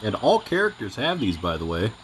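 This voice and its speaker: A man's voice: lowish voice, wildly swooping pitch, a little fast, heavy background noise. Playful, slangy guy reacting to a game. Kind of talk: casual reaction commentary.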